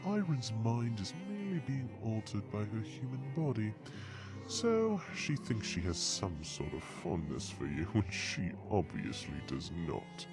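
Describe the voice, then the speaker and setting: A man's voice: fairly low pitch, huge pitch swings, measured pace, over music, heavily acted. A man playing a haughty, scheming dragon suitor in an audio drama, formal and condescending as he taunts. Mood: smug, menacing.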